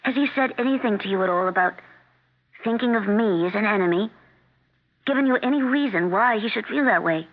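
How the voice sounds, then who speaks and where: A woman's voice: mid-range voice, a little fast, natural voice. An actress playing a troubled, politely insistent woman in a 1970s radio drama, uneasy in manner. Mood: troubled, probing. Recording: tinny, narrow-band 1970s radio broadcast recording.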